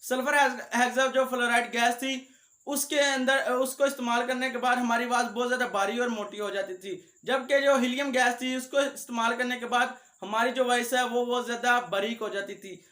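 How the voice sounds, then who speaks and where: Young male vlogger, very high-pitched voice, addressing viewers in an echoey room. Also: steady tone, brisk, sharp tone. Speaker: video creator, host. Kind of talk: vlog talk to viewers.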